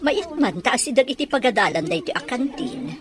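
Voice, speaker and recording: A woman's voice: high voice, big pitch swings, brisk, over music. A woman with an Ilocano accent acting a wistful, prayerful mother in a radio drama. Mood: friendly. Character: wistful, prayerful mother awaiting her absent child.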